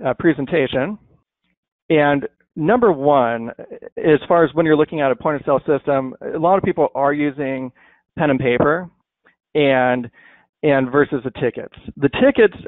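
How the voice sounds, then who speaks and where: A man walks through laundry software in a relaxed, conversational webinar style, often restarting his sentences with an "um". His voice sits at a middle pitch and comes through a tinny, narrow line with a dry, close sound. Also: vocal fry, no echo. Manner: conversational, many fillers and restarts. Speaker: software presenter. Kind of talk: webinar product walkthrough. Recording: dry tinny phone-like audio.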